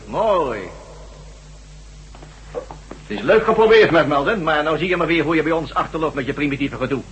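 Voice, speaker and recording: A man's voice: on the high side, lively intonation, normal speed, a bit bright. An actor playing a smug, condescending henchman from a superior race, mocking in manner, in a Dutch radio drama. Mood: smug, commanding. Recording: noisy old radio recording.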